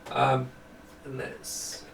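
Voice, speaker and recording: A man giving a casual, unscripted hardware demo, rambling and thinking aloud in a self-deprecating way. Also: very slow. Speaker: hardware designer demonstrating a product. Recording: roomy, distant mic, faint noise.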